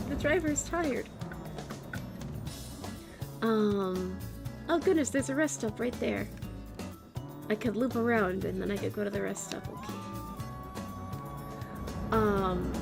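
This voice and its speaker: A chatty American woman streaming a game, her voice strained and worn out from overuse. She sits a little high for a woman and rambles along with plenty of fillers, with clear game music playing behind her. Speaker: vtuber streamer. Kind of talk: casual chat while gaming.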